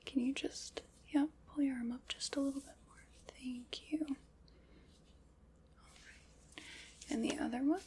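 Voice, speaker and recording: A woman voicing a calm, methodical skeleton armorer, whispering polite step-by-step instructions in an ASMR roleplay. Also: sits high, measured pace, pauses often, slight background noise. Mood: gentle instructive.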